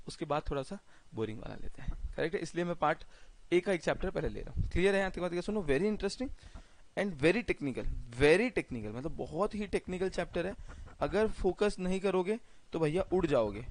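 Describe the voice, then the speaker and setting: Hoarse Indian man teaching a class. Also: on the high side. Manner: chatty, repeats phrases, mixes English words. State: sore throat. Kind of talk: live online lecture.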